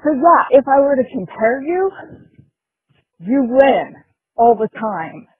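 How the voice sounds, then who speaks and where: Husky woman on lo-fi voicemail. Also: sits high, sing-song, slow pace, no echo. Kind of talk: personal voicemail message. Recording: muffled lo-fi phone voicemail.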